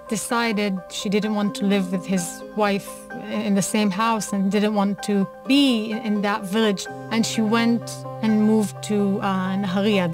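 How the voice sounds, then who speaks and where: A woman from Israel gives a personal testimony in an even, mid-range voice. She speaks earnestly and at a measured pace, with music playing clearly behind her. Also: medium pitch. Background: background music.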